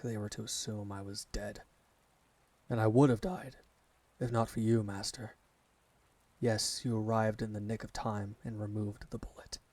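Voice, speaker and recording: A male actor voices an alpha werewolf bound in devotion to his master. The voice is low and keeps to a narrow, flat range. His speech is formal, measured and reverent, recorded dry and close for an ASMR fantasy roleplay. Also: no echo. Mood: solemn recounting.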